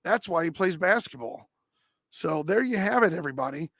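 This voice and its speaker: High-pitched man with a dry rasp. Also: expressive, moderate pace, a bit bright, no room sound. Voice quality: dry, raspy voice. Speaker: livestream host. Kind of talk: casual livestream chat and clip commentary.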